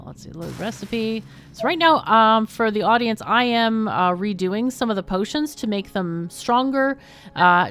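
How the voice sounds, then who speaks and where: Lively chatty woman hosting a stream. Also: mid-range voice. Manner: chatty, playful, laughs often. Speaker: livestream host and gamer. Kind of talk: casual livestream chat with game commentary and questions to guests.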